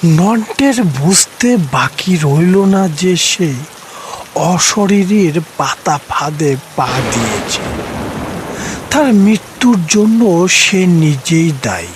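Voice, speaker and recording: A man's voice: high-pitched, big pitch swings, slow pace, noisy background. A man narrating a scripted horror story as a dramatic storyteller, with rain behind him.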